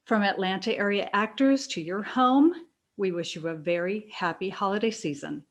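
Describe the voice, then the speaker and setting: A woman's voice: mid-range voice, moderate pace. A woman reading scripted promotional narration as an announcer.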